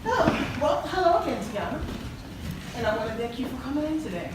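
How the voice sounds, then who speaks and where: A woman acting a polite, professional counselor in a stage play, formal and measured. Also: high voice, very animated. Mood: courteous. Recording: echoey hall from far off, clear noise.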